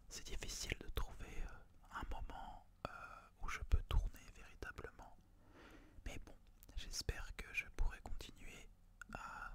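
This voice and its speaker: Young man in a slow, breathy whisper. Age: young adult. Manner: soothing, deliberate, repeats phrases softly. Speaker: ASMR video host. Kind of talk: ASMR, whispered talk to viewers. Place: small student apartment, indoors.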